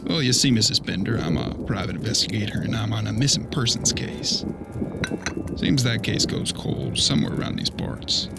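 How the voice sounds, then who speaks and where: A man's voice: lowish voice, animated, moderate pace, gravelly, heavy background noise. A man playing a folksy, polite frontier detective in a western audio drama, with plainspoken country phrasing. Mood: casual, probing. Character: folksy, easygoing young frontier detective riding alone.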